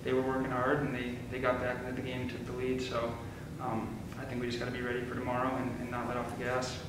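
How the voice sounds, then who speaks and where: A man's voice: mid-range voice, monotone, fast talker. A young man, a college hockey player, giving plain postgame answers full of fillers. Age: young adult. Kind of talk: postgame interview. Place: press room at a table. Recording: echoey room from a distance, background hiss.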